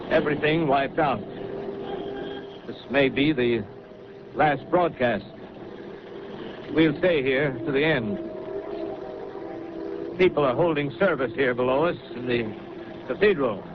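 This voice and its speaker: An actor playing a grim radio announcer in a 1930s radio drama, delivering halting bulletins. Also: male voice, medium pitch, close to an everyday voice. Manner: grave, halting bulletin reports. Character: grim male radio announcer reporting the end. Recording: lo-fi old radio broadcast with loud noise.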